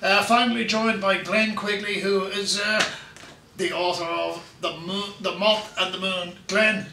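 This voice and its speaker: A man's voice: high voice, steady tone, measured pace, creaky, reverberant, recorded from a distance, background noise. A middle-aged Irish man hosting a radio interview, hesitant and full of filler words, in a hotel room. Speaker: radio show host.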